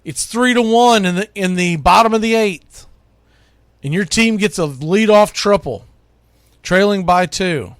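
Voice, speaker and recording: Guy doing sports radio, kinda high voice, dry studio-like sound, chatty but opinionated and punchy. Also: unhurried, dry recording. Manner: emphatic, opinionated, conversational. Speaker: sports radio host, baseball play-by-play announcer. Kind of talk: informal sports monologue counting down a list.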